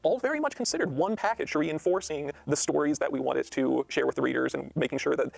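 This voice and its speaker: Higher-voiced man, gravelly creak, dry sound. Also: fast talker, no echo. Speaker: game designer being interviewed. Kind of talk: explanatory promotional interview.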